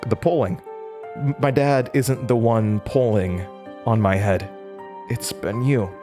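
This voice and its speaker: A man's voice: medium pitch, takes it slowly, over music, close to an everyday voice. A young man in a horror audio drama playing a weary, defiant college student haunted by his past, candid and dryly resigned. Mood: accusing, firm. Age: young adult.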